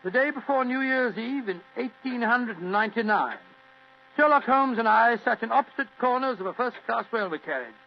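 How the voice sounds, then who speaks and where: Older British gentleman storyteller, high creaky voice, genial, muffled humming radio drama recording. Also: expressive, normal speed. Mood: genial, reminiscing. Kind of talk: old radio drama narration. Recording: muffled old radio recording with hum and hiss.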